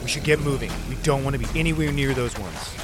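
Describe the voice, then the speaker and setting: A man's voice: medium pitch, fast talker, close to an everyday voice. A man playing a protective zombie-apocalypse survivor in an audio drama, speaking with urgent command. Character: gruff protective male zombie-apocalypse survivor escorting a stray. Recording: battle ambience noise behind voice.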